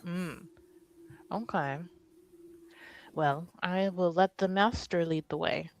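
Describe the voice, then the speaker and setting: A woman's voice: on the low side, steady tone, takes it slowly, a little hiss or hum. A woman playing a wry, fond adult relative who teases a child in a tabletop game.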